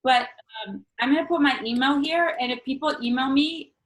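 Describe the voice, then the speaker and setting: A woman whose first language is Spanish, reading her own poems in a measured, earnest way over a video call from home, with casual asides between pieces. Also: high-pitched. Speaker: featured poet. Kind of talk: reading her own poetry at an online open mic.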